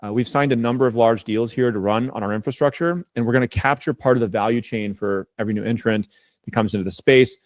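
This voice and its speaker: A man with a mid-range voice talks very quickly through business points on an earnings call. He is assured and emphatic yet conversational, and the sound is dry and close. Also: mid-range voice, no room sound. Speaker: company chief executive on an earnings call. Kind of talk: business call remarks, making a case point by point.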